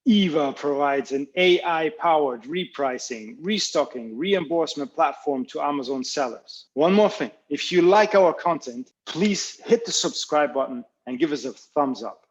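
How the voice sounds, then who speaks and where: A man hosting a podcast, asking questions in a halting way and saying "okay" often. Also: a little high, expressive, slight background noise. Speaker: podcast host. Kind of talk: casual interview talk. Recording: dull, lo-fi sound.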